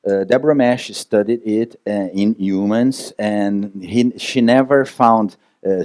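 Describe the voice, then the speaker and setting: A man's voice: fairly low pitch, a little mellow, no room sound, studio quality. A man with a Brazilian Portuguese accent, speaking English as a second language, answers audience questions at a conference, hesitating often with fillers and restarts.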